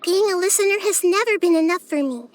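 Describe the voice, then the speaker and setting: A woman voicing a wise, gentle, curious child-like goddess in a video game, with a light youthful voice and earnest, thoughtful phrasing. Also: very high-pitched, fast talker, dry recording. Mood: eager, wondering. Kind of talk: video game character voice lines.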